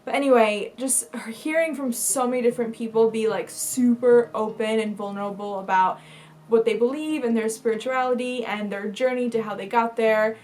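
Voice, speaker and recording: A woman's voice: a little high, moderate pace, a little mellow. A young woman from Bulgaria, a lifestyle vlogger talking casually to camera, chatty, with lots of fillers and restarts.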